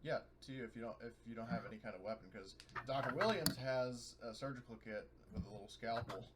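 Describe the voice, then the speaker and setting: A man's voice: mid-range voice, quick pace. Noisy recording of a man playing tabletop. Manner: informal, narrates his character in third person. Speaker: player in a tabletop roleplaying game. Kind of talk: casual game chat. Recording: noisy audio with clicks.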